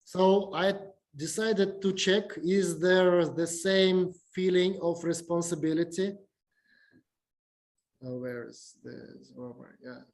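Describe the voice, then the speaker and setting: A man with a Russian accent giving an academic lecture, speaking haltingly with many fillers and restarts. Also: high-pitched, a little mellow. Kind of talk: academic lecture over video call. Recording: echoey video call recording.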